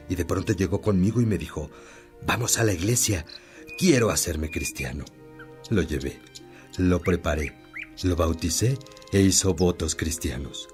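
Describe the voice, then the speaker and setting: An actor playing a wise, kindly old priest in a Spanish-language radio drama, telling stories in a measured, earnest way. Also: male voice, deep voice, a little mellow.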